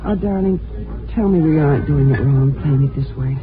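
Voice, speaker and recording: An actress playing a devoted, insecure young wife in a 1950s radio drama. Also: female voice, low-pitched, a little fast, natural voice. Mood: anxious. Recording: muffled old 1950s radio recording with music.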